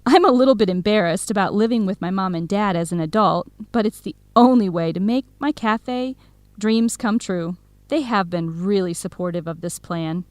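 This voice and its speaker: A young woman playing a supportive, excitable best friend in an educational radio drama, eager, expressive and full of questions. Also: mid-range voice, normal speed, no room sound, natural voice. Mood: happy. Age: young adult.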